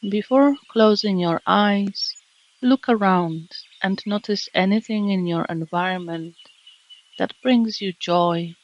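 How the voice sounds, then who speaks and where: A woman guides a meditation in a gentle, calming manner. Her delivery is slow, with long, measured pauses between phrases. The sound is a little muffled, and birds and nature sounds play behind her voice. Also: mid-range voice. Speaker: meditation teacher.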